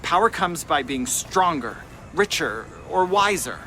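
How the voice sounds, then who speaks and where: Man acting cynical young slave lad, sharp highish voice, brash, ambitious, audio drama. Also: big pitch swings, measured pace, slight background noise, exaggerated voice. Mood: scheming, determined. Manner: brash, dismissive.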